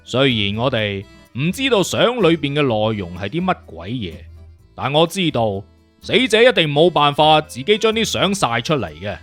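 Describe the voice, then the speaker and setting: A man acts out a smug, bossy male detective. His voice sits fairly high and swings around with slangy swagger, over light background music in an audiobook. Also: very animated, moderate pace, fairly bright tone. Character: cocky, teasing male detective consultant, clever and bossy.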